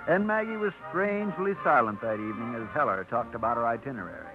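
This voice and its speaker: Actor as lively radio narrator over music. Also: male voice, medium pitch, fast, natural voice. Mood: wry storytelling. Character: wry storytelling narrator of small-town tale. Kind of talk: 1950s radio scripted narration. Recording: tinny old radio, loud music behind.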